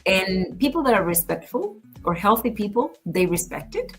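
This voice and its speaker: A woman co-hosting a podcast, talking in a measured, earnest, advice-giving way in casual conversation. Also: mid-range voice, big pitch swings, soft background music. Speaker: podcast co-host.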